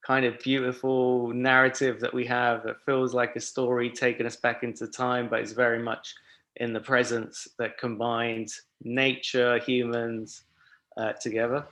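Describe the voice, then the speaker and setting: A man's voice: medium pitch, level tone, a little slow, fairly bright tone. A man hosting a film festival panel over a video call, speaking in a friendly way with frequent fillers.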